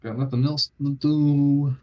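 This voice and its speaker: A man from New Jersey chatting casually as he plays a livestreamed card game, talking through his moves with drawn-out pauses. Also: fairly high pitch, flat delivery, highs cut off.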